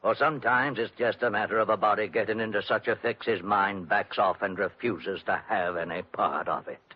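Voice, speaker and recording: An actor playing a folksy, consoling old doctor in a 1940s-style radio drama. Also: male voice, lowish voice, fairly even intonation, brisk, lightly acted. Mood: grave. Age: older. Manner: plain-spoken, consoling. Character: folksy, gentle old male country doctor. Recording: dull vintage radio recording.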